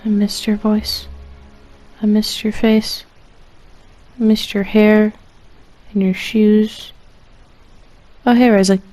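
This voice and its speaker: A woman's voice: mid-range voice, little pitch movement, slow pace, long pauses, warm tone, faint music behind, low-quality recording. A woman streaming a game, reacting casually with slangy, playful and dramatic asides.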